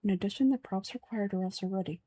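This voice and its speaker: A woman's voice: mid-range voice, quick pace, dark tone, breathy edge, no echo. A woman who is an amateur voice actor, performing a reading of video game dialogue.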